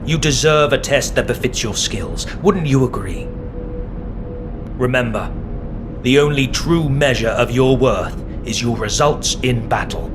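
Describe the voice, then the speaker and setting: A man's voice: medium pitch, lightly acted. Man acting as cold, exacting military scientist over music. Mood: cold, lecturing. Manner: measured, lecturing, condescending. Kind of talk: scripted video game voice acting. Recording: music behind the voice.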